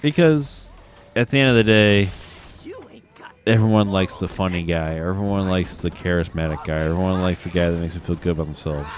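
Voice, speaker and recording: A man's voice: sits low, brisk. A man with a Midwestern American accent, a bit drunk, rambling and reflective as he hosts a casual gaming chat, swearing casually. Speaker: gaming podcast host.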